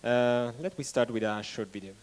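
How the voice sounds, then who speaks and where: A man hosting an event, giving a halting welcome speech to an audience and often restarting his phrases. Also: mid-range voice, darkish tone. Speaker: event host.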